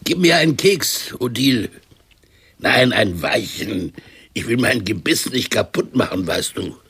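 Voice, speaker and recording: An actor playing a mocking old uncle with false teeth in a radio play, needling and demanding. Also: male voice, on the low side, lively intonation, a little slow, a bit bright, slightly rough, heavily acted.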